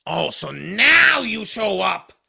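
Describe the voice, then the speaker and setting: A man voicing a bitter, sarcastic male troll in an audio drama, with a harsh gravelly voice and a grumbling manner. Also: high voice, very animated, slow, sharp tone, exaggerated voice. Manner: sardonic, grumbling.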